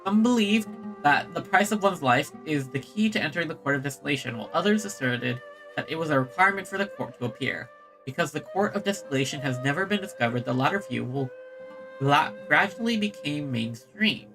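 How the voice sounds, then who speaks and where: A woman's voice: low-pitched, lively intonation, fairly quick, low-quality recording. A woman streaming a video game, giving casual commentary and reading in-game text aloud. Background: game music.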